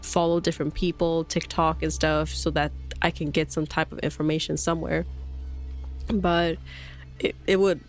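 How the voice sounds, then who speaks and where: A woman co-hosting a podcast, speaking English as a second language in halting, hesitant phrasing with lots of "like" and restarts. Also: low voice, level tone, soft background music. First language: not English. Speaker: podcast co-host. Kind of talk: casual podcast chat. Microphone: podcast microphone.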